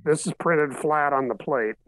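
A man's voice: on the high side, moderate pace, dry recording, rough recording. Brief: man, 50s, dry webcam sound, easygoing hobbyist show-and-tell. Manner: relaxed, matter-of-fact show-and-tell. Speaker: hobbyist showing 3D printed car bodies. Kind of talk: casual video call chat. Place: home living room, seated in armchair. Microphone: webcam on video call.

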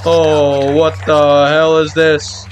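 A man's voice: fairly high pitch, completely flat delivery, slow, faint music behind. A man giving reaction commentary on a horror game, jumpy and exclaiming, swearing and repeating himself. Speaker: gaming video creator.

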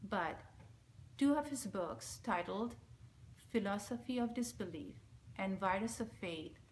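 Bangladeshi lady reading her statement slow and steady, solemn, mid pitch, faint hiss in a small room. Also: poor audio. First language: Bengali. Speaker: speaker giving a recorded message. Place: small indoor room, plain wall. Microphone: front-facing camera at close range.

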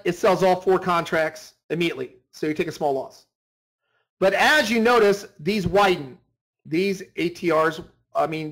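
A man's voice: sits high, poor audio. A man leading an informal screen-share tutorial as a trading instructor, talking emphatically, repeating himself and checking that listeners follow.

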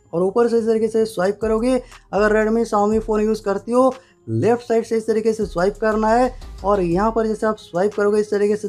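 A man's voice: sits high, darkish tone. A man narrating a step-by-step phone tutorial in an instructional way, repeating stock phrases. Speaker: tech tutorial presenter. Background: faint music.

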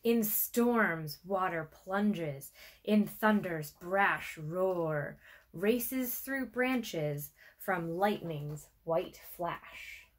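A woman in her thirties reading aloud to children as an educator, in an expressive storytime style with measured, deliberate phrases and pauses. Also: on the low side. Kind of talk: expressive children's picture-book read-aloud. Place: small furnished room with armchair and bookshelf, indoors.

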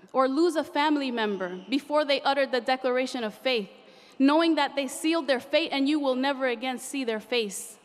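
A young woman performing spoken word poetry at a podium microphone on a large convention stage, with a rhythmic, impassioned, rhyming delivery. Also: sits high. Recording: reverberant convention hall.

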